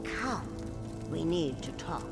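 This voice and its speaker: A woman playing a scheming older orc queen mother in a fantasy video game, cold, condescending and measured, with a gravelly voice. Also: deep voice, animated, heavily acted. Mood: mocking menace. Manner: measured, mocking, menacing. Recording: music playing clearly behind the voice.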